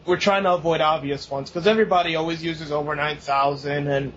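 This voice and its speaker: A man's voice: on the high side, steady tone, fairly quick, a bit bright. A young man co-hosting a casual podcast, rambling, swearing and laughing often. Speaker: podcast co-host. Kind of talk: casual chat. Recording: dull lo-fi recording, faint noise.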